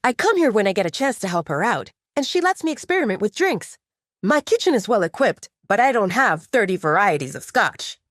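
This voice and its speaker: A woman voicing a charming, caring young male werewolf bartender in an audiobook, patient and gently teasing. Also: medium pitch, big pitch swings, brisk, no room sound, clean studio sound, natural voice. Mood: proud, fond. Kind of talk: audiobook character dialogue.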